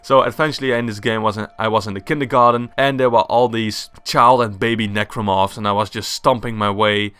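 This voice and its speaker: Dutch-accented man, smooth, fairly low voice. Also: fairly even intonation, brisk, few pauses, fairly bright tone, no room sound. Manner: enthusiastic, laughs at himself, swears casually. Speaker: gaming YouTuber. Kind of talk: casual opinion vlog. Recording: faint background music.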